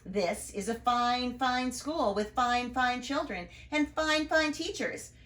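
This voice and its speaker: A woman's voice: on the high side, takes it slowly, a bit bright, reverberant, pitched above the performer's own voice. A woman voicing an earnest, enthusiastic male school principal in a storybook, emphatic and proudly repeating himself. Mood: earnest, announcing. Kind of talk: storybook read-aloud.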